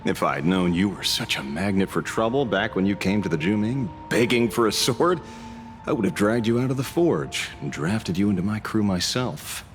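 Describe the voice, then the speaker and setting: Man acting a low-voiced, swooping roguish space ranger. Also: lively intonation, normal speed, soft background music, close to an everyday voice. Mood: teasing. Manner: teasing, flamboyant. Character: roguish, swaggering male space ranger leader, amused and theatrical. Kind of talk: dramatic game cutscene acting.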